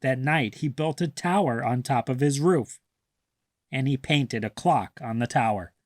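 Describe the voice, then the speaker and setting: A man reading a children's story aloud with deliberate, expressive emphasis. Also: medium pitch, dry recording, clean studio sound. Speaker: storybook reader. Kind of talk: children's read-aloud narration.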